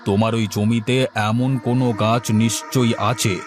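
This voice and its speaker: Man acting a slow, pompous village sage. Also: medium pitch, little pitch movement, lightly acted. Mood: knowing. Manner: deliberate, lecturing. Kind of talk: Bengali children's cartoon dubbing. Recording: faint music behind the voice.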